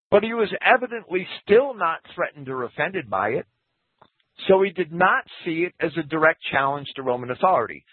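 Male scripture teacher, husky, animated pitch, dry thin radio sound. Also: no echo. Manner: lecturing, reads quotes aloud, lively pitch. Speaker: Bible teacher. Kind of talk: scripture commentary talk. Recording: tinny phone-like radio broadcast.

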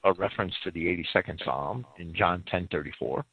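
A man's voice: sits low, brisk. A man giving a lecture-style radio talk that explains and cites sources at length, often repeating words as he restarts. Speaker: radio program speaker answering critics. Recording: tinny phone-line radio audio.

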